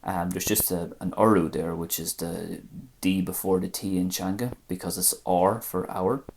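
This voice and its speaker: A man with an Irish accent teaching a language lesson, saying phrases slowly and carefully one at a time. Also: sits low, fairly even intonation, gravelly, a little mellow, slight background noise. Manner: slow, careful phrase-by-phrase pronunciation.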